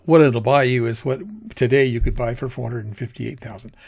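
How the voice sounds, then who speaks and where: A man teaches personal finance in a loose, rambling way, often stopping to fix what he just said. His voice is gravelly with a husky edge, and his pitch sits in an ordinary middle range. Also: fairly even intonation, a little fast, darkish tone. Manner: conversational, rambling, self-correcting, acts out quoted asides. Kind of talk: informal lecture on personal finance.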